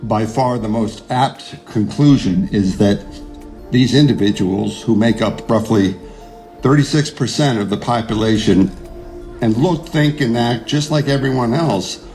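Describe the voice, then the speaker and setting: Idealistic neuroscientist. Low, mellow, deliberate; audio drama lead. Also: male voice. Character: earnest idealistic male neuroscientist, defiant under pressure. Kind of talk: audio drama narration and dialogue.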